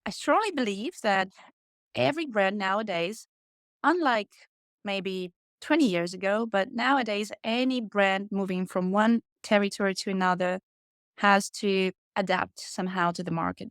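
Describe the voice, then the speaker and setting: A woman's voice: mid-range voice, moderate pace, no echo, studio quality. A middle-aged woman from Russia with a Russian accent, answering conversationally as an expert podcast guest. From Moscow, Russia. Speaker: podcast guest, hospitality brand consultant.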